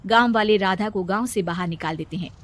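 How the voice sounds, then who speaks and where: A woman narrating a cartoon storybook. She tells it in a plain, even way with a mid-range voice. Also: medium pitch, a little fast, noisy background.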